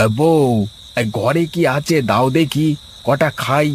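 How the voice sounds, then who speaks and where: A man's voice: fairly high pitch, slow. A man playing a lazy, food-loving village husband in a cartoon, coaxing and bossy in colloquial speech. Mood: eager, bossy. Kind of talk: cartoon story acting. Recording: noisy track with chirping crickets.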